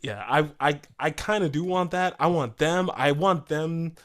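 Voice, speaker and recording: A man's voice: a little high, measured pace, fairly bright tone. A man chatting casually as a co-host on a podcast. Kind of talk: casual chat.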